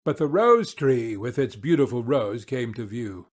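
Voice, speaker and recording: A man narrating a storybook audiobook in a measured, theatrical way. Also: medium pitch, expressive.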